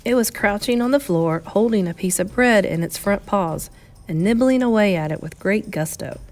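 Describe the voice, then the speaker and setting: A woman reading a children's story aloud as an expressive storybook narrator. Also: mid-range voice, moderate pace. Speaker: storybook reader.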